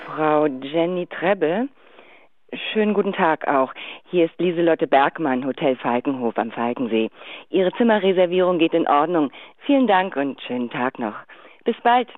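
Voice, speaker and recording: An actress playing a courteous, sing-song hotel receptionist in a German radio drama, as an answering machine message. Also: female voice, sits low, lively intonation, normal speed. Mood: polite. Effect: answering machine phone message. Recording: thin phone line, faint music.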